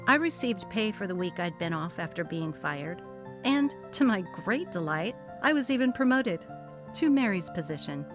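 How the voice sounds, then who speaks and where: A woman narrating a short story as a dramatic, gossipy reading, expressive in her delivery. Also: mid-range voice, moderate pace, music in the background.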